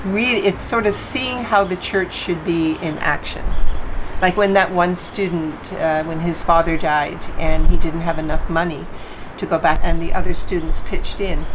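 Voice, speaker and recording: A woman from Canada with a Newfoundland accent, talking reflectively in a casual interview, with frequent pauses and fillers. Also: on the low side, noisy background. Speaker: interviewee, volunteer. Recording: music bed behind voice.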